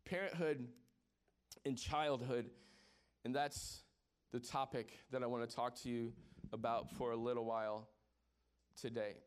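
A man's voice: medium pitch, steady tone, unhurried, pauses often, clean tone. A man in his forties preaching a sermon as pastor, conversational and joking with the congregation, often repeating words as he restarts. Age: 40s.

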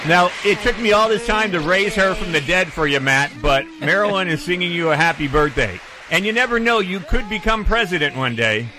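A man's voice: high-pitched, animated, brisk, hardly any pauses, noisy background. A man in his fifties hosting a pet call-in radio show, chatty and jokey, also reading his own ad. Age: 50s. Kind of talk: radio call-in talk and read ad.

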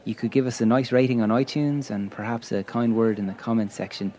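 A man's voice: medium pitch, fairly even intonation, brisk, mellow. A man hosts the show in a wry, friendly emcee style, steering the questions and wrapping things up. His voice sits in the middle of the range and rings out with the long reverb of a hall. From Ireland. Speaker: podcast host. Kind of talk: hosting a live podcast Q&A.

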